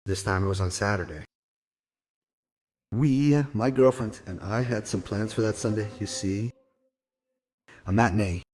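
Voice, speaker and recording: A man playing a separated father in a crime audio drama, explaining himself in halting, clipped, self-justifying phrases. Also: on the low side, darkish tone. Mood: defensive, uneasy. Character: separated father giving a defensive, halting account to police.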